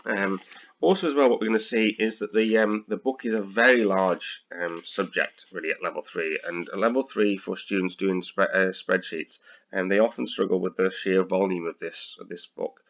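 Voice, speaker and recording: A British man with a slightly croaky voice, tutoring accounting students through a software lesson, hesitant with many ums and restarts. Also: low-pitched, normal speed, lo-fi sound. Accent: British English. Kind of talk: screen-recorded software tutorial.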